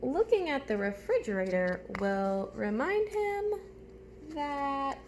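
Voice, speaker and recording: A woman's voice: sits high, very animated, takes it slowly, darkish tone, a little hiss or hum. A woman teacher reading a storybook aloud to young children, chatting to them directly with simple questions and step-by-step prompts.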